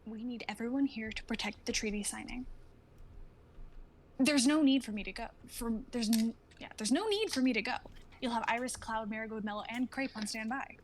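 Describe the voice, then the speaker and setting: A woman's voice: a little high, brisk, slight background noise, close to an everyday voice. A woman playing a blunt, hot-headed soldier in a dramatic reading of a sci-fi script. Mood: impatient. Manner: blunt, no-nonsense.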